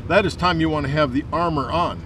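A man's voice: fairly high pitch, quick pace. An older man talking casually to camera as a Bible vlogger, giving a devotional. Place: outdoors in a restaurant parking lot. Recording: distant mic with outdoor car noise.

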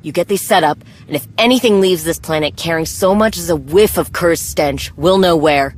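A woman's voice: on the low side, normal speed, close to an everyday voice. A woman playing a stern, vengeful warrior commander in a video game, speaking in terse, weighted lines. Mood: stern, bitter. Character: grim, vengeful female Mandalorian warrior commander. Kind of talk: video game dramatic acting.

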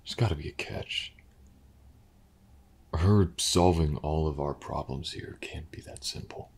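A man playing a weary, skeptical detective in an audio roleplay, gruff but sincere, murmuring haltingly in a husky, gravelly voice. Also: rumbling low, heavily acted. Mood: uneasy, brooding. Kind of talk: audio roleplay acting, intimate and hesitant.

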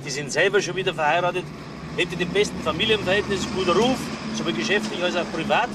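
An actor with a Bavarian accent playing an easygoing, dryly teasing police sidekick in a radio crime drama. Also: male voice, high voice, fast talker, distant mic, natural voice. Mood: laconic. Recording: loud vehicle noise, off-mic.

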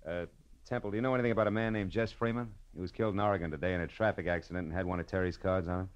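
A hardboiled American private eye from a vintage radio noir , played by an actor. His voice is low and he talks fast, terse and wry. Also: steady tone, smooth, dull audio, natural voice. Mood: businesslike. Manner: clipped, terse, world-weary. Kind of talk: 1940s-50s radio noir acting and narration.

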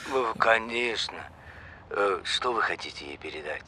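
An actor playing a good-natured, talkative grandfather in an audio drama phone dialogue, gentle and sometimes hesitant. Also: lowish voice, animated, unhurried, a little hiss or hum, full character voice. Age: middle-aged or older.

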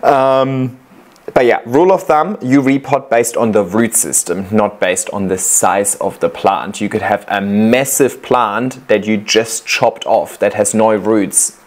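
A man casually explaining plant-care tips in a chatty, relaxed way, reacting to viewers' videos. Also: lowish voice, measured pace. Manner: relaxed, chatty, giving practical tips with fillers.

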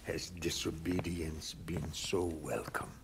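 A man's voice: deep voice, flat delivery, slow, creaky, background noise, exaggerated voice. An actor playing an old, commanding, guilt-ridden king of Troy in a video game, with a regal, weighty delivery. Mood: moved, solemn. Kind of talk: dramatic video game acting.

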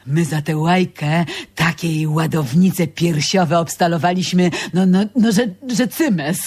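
An actress playing an earthy older Polish seamstress who tells gossipy stories with colloquial relish in a radio drama. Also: female voice, lowish voice, a little slow, breathy edge. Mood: animated storytelling. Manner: colloquial, relishing, animated anecdote telling.

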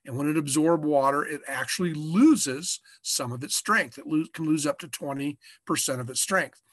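A man in his sixties with an American accent, giving an informal lesson as an instructor, halting and often repeating his words. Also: a little high, fairly bright tone, dry recording. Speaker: fishing instructor. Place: small indoor room, curtain backdrop. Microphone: webcam video call.

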